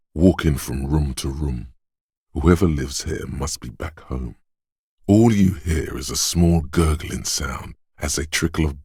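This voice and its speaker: An actor voicing a watchful inner sense in a video game, narrating slowly and deliberately. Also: male voice, extremely low pitch, darkish tone, husky, no echo, studio quality, heavily acted. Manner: slow, measured, deliberate delivery.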